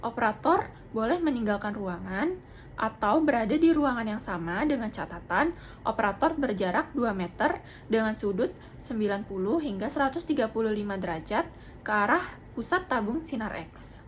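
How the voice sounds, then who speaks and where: A young woman with a fairly high voice explains a procedure step by step. She is formal, polite and methodical. Faint noise sits behind her voice. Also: expressive, moderate pace. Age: young adult. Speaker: dental student demonstrating a procedure. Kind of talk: instructional narration with role-played patient consultation. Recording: clearly noisy amateur video sound.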